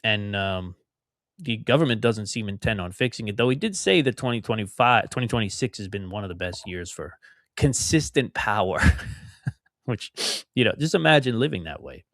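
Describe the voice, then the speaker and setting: A man's voice: on the low side, lively intonation, moderate pace, fairly bright tone, no room sound, clean studio sound. A middle-aged man from outside Philadelphia hosting a solo podcast, talking in a rambling, conversational way with emphatic repetitions. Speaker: podcast host.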